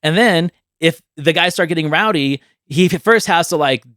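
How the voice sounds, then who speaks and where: A man hosting a podcast, chatting casually about films and pitching ideas with animated, vivid storytelling. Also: normal speed, no echo, clean studio sound. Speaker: podcast host. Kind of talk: casual film chat and movie pitching.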